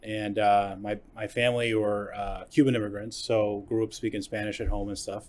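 A man's voice: on the low side, flat delivery, normal speed. A man in his forties from New Jersey, a surgeon guest talking in a friendly, easy way at a desk microphone in a studio. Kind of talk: casual interview. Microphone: desk microphone on stand.

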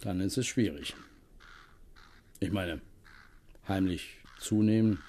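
A homely, coaxing farm tenant. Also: male voice, deep voice, slow, warm tone, slight background noise. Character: plain-spoken middle-aged male farm tenant, kindly, practical. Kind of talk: radio play acting.

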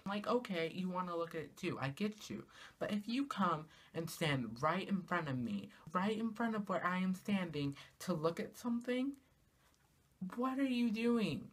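A teenage girl vlogging from her small bedroom, talking casually to the camera and ranting in an exasperated way, her pitch jumping up on rhetorical questions. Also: lively intonation, normal speed. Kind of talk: casual comedic rant to camera. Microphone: camera in front of her, at arm's length.

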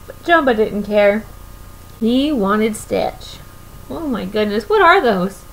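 A woman's voice: mid-range voice, lively intonation, takes it slowly, a little hiss or hum, poor audio. A young woman reading a picture book aloud to children expressively, often putting questions to her listeners. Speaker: children's story reader. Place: small room at home, indoors.

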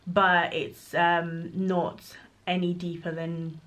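A woman's voice: on the low side, flat delivery, slow pace, a bit bright, highs cut off. A woman talks straight into a close camera, answering viewers' questions one after another. Her delivery is frank and chatty, like a casual home vlog recorded in a small bedroom. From Congo. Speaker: vlogger. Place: bedroom nursery, indoors. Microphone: camera at close range.

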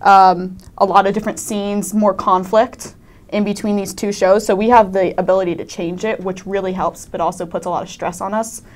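A young woman, a high school student actor, talking casually as a panel interview guest. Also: flat delivery, moderate pace. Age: teens to young adult, high school student. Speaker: student cast member being interviewed.

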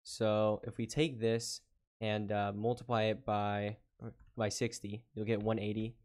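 A man with a lowish voice talks through a math problem as a tutor. He explains each step patiently, pausing with small fillers and slowing down while he reads out numbers. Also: level tone, darkish tone. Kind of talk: whiteboard lesson walkthrough.

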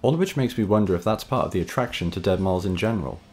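A man hosting a video essay, reading scripted narration in a measured, wry, conversational way. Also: low voice, animated, darkish tone. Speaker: host and narrator of a video series. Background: background music.

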